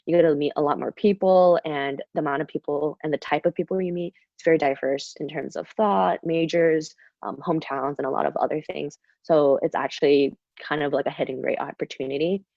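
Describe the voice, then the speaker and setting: Low-voiced young woman on a video call. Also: fairly even intonation, a little fast, creaky, hardly any pauses, darkish tone, no echo. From Shanghai, China. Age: young adult. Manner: chatty, many fillers and "kind of". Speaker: student webinar host. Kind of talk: casual presentation. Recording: dull, dry video call audio.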